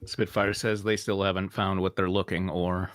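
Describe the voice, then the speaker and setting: A man's voice: deep voice, little pitch movement, normal speed, fairly bright tone, dry recording. A man narrating a fan-fiction script aloud in an informal way as a show co-host.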